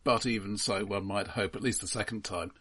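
A man co-hosting a casual film discussion, explaining his points in a halting, measured way. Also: lowish voice, a crowd in the background. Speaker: film podcast co-host.

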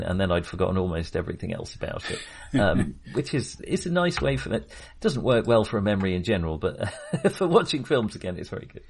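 A man's voice: mid-range voice, breathy edge. A man co-hosting a film podcast, talking casually in a tentative, self-qualifying, agreeable way.

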